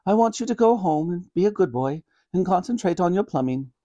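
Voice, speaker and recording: A man's voice: high-pitched, expressive, a little fast, dark tone, dull audio, pitched above the performer's own voice. A man voicing a sweet, flustered old grandmother in a storybook reading, with genteel old-fashioned exclamations. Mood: fussy.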